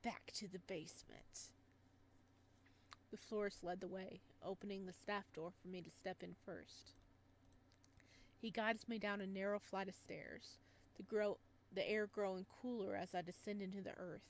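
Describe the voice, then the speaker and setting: A woman reading a visual novel aloud, calm in the narration and quick to break into startled, animated reactions, with faint music behind her. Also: medium pitch, a little hiss or hum.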